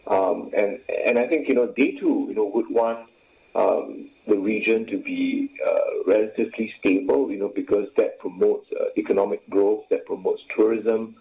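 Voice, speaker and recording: Gravelly man over a tinny line. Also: fairly low pitch, fairly even intonation. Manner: constant "you know" fillers, halting. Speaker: professor, guest expert. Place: remote link.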